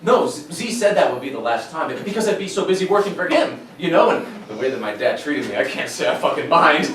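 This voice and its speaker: Guy playing naive sweary young dude in a drama, rambling and defensive, voice swings high, super echoey far from mic. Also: big pitch swings, a little fast, fairly bright tone. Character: naive, defensive young man, foul-mouthed and excitable. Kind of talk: dramatic acting. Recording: very echoey room, distant mic, noisy background.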